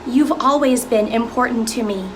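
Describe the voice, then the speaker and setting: A woman acting a concerned, gently suspicious wife in a stage drama. Her voice is high, probing yet caring, over slight noise from a home recording. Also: moderate pace, close to an everyday voice. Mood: worried, pleading. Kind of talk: musical theatre table read.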